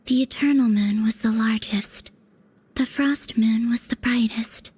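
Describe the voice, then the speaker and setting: An actress voicing a mysterious, ethereal young woman in a video game, speaking softly and calmly in a breathy whisper. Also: fairly high pitch. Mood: hushed. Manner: soft, calm, unhurried.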